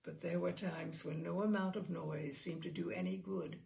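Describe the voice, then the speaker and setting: A woman reading literary stories aloud as a storyteller, with expressive, theatrical phrasing. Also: deep voice, normal speed, gravelly. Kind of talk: literary storytelling with informal introduction.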